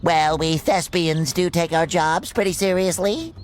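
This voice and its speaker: A man's voice: fairly high pitch, a little slow, close to an everyday voice. A man voicing a cheerful, naive young sea sponge in a cartoon video game, bubbly and earnest. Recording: music behind the voice.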